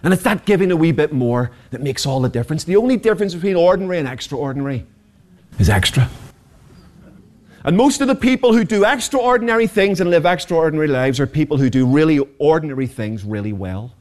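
A man's voice: on the high side, expressive, quick pace, a little mellow. A man, preaching a sermon as pastor, passionate and rhetorical with humorous asides. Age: 40s.

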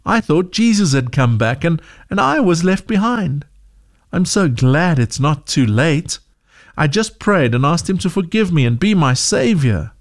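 A man's voice: on the high side, big pitch swings, measured pace, voice pushed up. A man voicing a frightened young schoolgirl in a storybook reading, his voice lifted and pleading. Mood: relieved tearful.

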